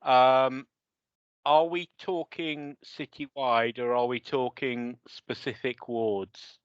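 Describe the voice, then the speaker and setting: A woman's voice: a bass voice, steady tone, takes it slowly, no room sound. A woman joining an online meeting late who asks a short, polite clarifying question. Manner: brief, polite, apologetic. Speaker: meeting attendee. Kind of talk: video call question. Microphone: video call. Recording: dull dry video call audio.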